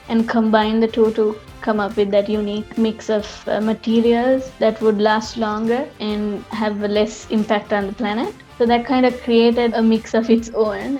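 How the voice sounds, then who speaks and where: A woman's voice: medium pitch, a little mellow. A woman fashion designer from Sri Lanka, explaining her work earnestly with frequent hedges. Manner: earnest, hedging with "kind of" and "like". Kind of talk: interview monologue.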